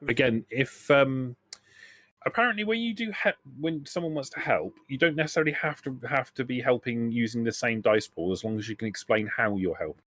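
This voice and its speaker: A man's voice: medium pitch, brisk, a bit bright, no echo. A man acting as game master, explaining rules and narrating a tabletop roleplaying game in a hesitant, explanatory way.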